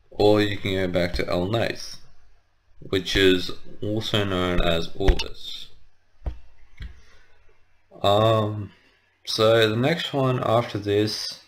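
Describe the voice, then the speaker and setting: Man, slow pace, lowish voice, casual gaming walkthrough, hesitant, rambling. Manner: hesitant, rambling, frequent self-correction. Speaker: gaming video host. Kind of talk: casual let's play commentary, explaining game menus.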